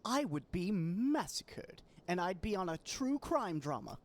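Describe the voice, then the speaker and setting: A woman's voice: fairly low pitch, sing-song, measured pace. A woman chatting casually and jokingly as co-host of a video game playthrough. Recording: music behind the voice.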